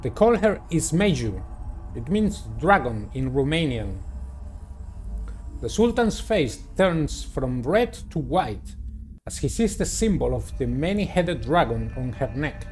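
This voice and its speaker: Higher-voiced theatrical man over music. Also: big pitch swings, measured pace, darkish tone. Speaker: host of a gaming channel, narrator. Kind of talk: playthrough commentary and read-aloud visual novel narration.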